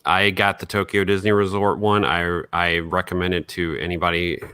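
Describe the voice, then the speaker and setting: A man co-hosting a podcast, chatting casually and with enthusiasm in a halting, stop-and-start way. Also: low voice, little pitch movement, sharp tone, smooth, no room sound. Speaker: podcast co-host.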